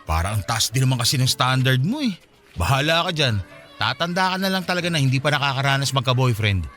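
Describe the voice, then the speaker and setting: A man's voice: medium pitch, very animated, fast, fairly bright tone, soft background music. An actor playing an easygoing Filipino man in his twenties, chatting casually with an old friend in a radio drama. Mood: teasing, encouraging. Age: 20s. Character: 27-year-old man, easygoing childhood friend, settled boyfriend.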